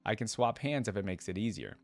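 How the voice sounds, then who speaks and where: A man's voice: fairly low pitch, animated, a little fast. A man voicing a cocky, mocking monster warrior with a taunting, nonchalant manner while reading a fantasy story.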